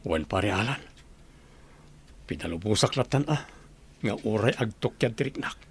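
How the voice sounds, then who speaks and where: A man's voice: fairly low pitch, lively intonation, normal speed, breathy edge, exaggerated voice. A man playing a reluctant, worried husband and father in a radio drama, pleading and resigned. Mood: resigned, heavy-hearted.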